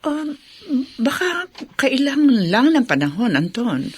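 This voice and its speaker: An actress playing a kind, patient older sister in a Filipino radio drama, speaking reassuringly. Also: female voice, fairly high pitch, sing-song, measured pace, husky, exaggerated voice. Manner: reassuring, gently philosophical.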